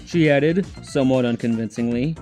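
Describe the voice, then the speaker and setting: A man's voice: mid-range voice, moderate pace, warm tone, faint music behind. A man reading scripted story narration as the narrator.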